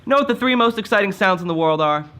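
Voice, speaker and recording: An actor playing an excitable young man in a 1950s-style live radio drama, with boyish enthusiasm. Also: high-pitched, fast talker, a little hiss or hum. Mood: eager.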